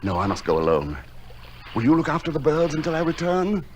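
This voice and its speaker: A man playing a gentle, noble, resolute recluse in a radio play, speaking with solemn, theatrical earnestness. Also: fairly high pitch, big pitch swings, a little fast, slight background noise. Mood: impassioned. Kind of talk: dramatic radio play acting.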